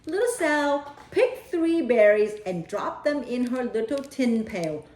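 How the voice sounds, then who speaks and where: A woman's voice: a little high, very animated, takes it slowly, a little mellow. A woman storyteller reading a children's picture book aloud. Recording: roomy echo.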